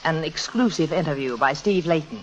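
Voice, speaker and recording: On a dull, muffled old radio recording, a poised young heiress with a tart tongue. Her delivery is highly animated and sardonic, in the manner of 1940s screwball radio comedy. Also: normal speed. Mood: scornful. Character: sharp-tongued, spirited young American heiress.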